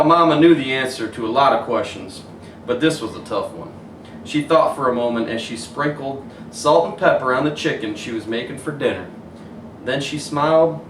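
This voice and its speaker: A man reads a children's picture book aloud in the animated manner of a teacher. He sounds away from the microphone in an echoey room, with faint noise around him. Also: medium pitch. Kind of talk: animated children's story read-aloud, pausing to show pictures. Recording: roomy echo, distant mic, faint noise.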